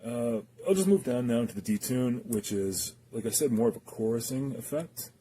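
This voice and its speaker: Male gear reviewer, very mellow dark tone, unscripted and halting, faint background noise. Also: medium pitch, expressive. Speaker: guitar pedal reviewer. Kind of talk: casual unscripted product review and demonstration.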